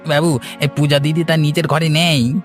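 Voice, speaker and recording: A man's voice: fairly high pitch, flat delivery, normal speed, faint music behind, natural voice. A man playing a scheming, outwardly obsequious servant of a village master in a cartoon. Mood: servile, alarmed. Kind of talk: cartoon voice acting.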